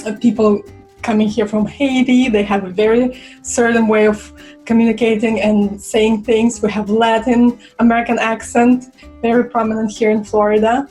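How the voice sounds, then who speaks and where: A young woman from Russia with a Russian accent, chatting casually to camera and pausing for words. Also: fairly high pitch, flat delivery, a little mellow, faint music behind. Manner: chatty, searching for words with fillers. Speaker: vlogger.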